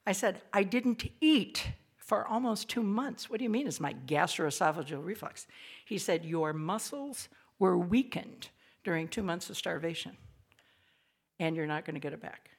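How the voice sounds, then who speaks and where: An older woman with a raspy voice gives a chatty, self-deprecating devotional talk to an audience in a small old hall. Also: on the low side. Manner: lively, chatty, self-deprecating preaching with quick asides. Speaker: speaker.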